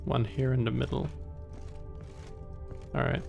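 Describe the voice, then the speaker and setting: A man's voice: medium pitch, flat delivery, a little slow, creaky, a little mellow, dull audio, faint music behind. A man giving casual, chatty live gameplay commentary as a streamer, thinking aloud as he plays. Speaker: game streamer.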